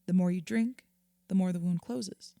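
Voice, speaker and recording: Audio drama: earnest female therapist, mellow tone, measured and reassuring, very dry studio-close sound. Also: on the low side, vocal fry, dry recording. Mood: empathetic, explanatory. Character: patient, earnest female therapist counselling a client. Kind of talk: naturalistic audio drama acting.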